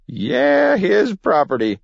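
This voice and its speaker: A man's voice: wildly swooping pitch, takes it slowly, creaky, no echo, exaggerated voice. A man voicing a feisty, folksy old grandfather who crows with glee in a storybook reading. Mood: triumphant. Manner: folksy, shrill, crowing. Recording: dull lo-fi recording.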